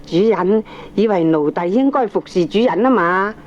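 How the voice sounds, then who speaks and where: An actress with a Hong Kong accent playing an opinionated middle-aged aunt, lecturing firmly and emphatically in a radio drama. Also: female voice, medium pitch, lively intonation, normal speed, darkish tone, close to an everyday voice. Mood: preachy, assured. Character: opinionated aunt, firm, preachy family adviser. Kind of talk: Cantonese radio drama acting. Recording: dull, slightly noisy old cassette recording.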